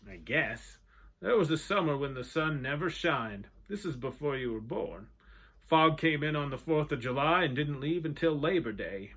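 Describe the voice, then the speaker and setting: A man voicing a genteel older sea captain with a dry, wry wit, telling tall tales slowly. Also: a little high, lively intonation, fairly bright tone.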